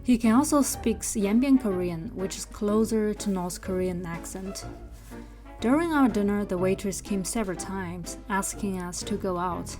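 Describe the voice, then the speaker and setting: A young woman with a Chinese accent, a native Chinese speaker, narrating her travel vlog in a calm, explanatory way with frequent fillers. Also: medium pitch. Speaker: travel vlogger. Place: train sleeper compartment.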